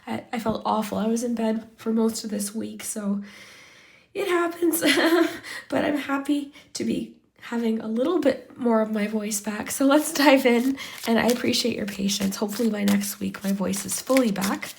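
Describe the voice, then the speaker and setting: A woman giving a chatty tarot reading straight to her viewers while losing her voice, sounding hoarse and sometimes sinking to a whisper. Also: fairly high pitch, normal speed. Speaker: tarot reader. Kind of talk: pick-a-card tarot reading to camera.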